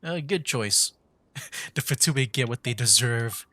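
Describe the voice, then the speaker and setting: A man's voice: medium pitch, expressive, a little slow, sharp tone, no echo. A man streaming a video game with rambling, laid-back, casual commentary.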